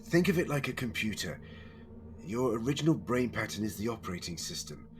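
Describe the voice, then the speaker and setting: A man playing an anguished, earnest, protective time-traveller hero in an audio drama, halting when distressed. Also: on the low side, sing-song, a little hiss or hum. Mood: grave explaining.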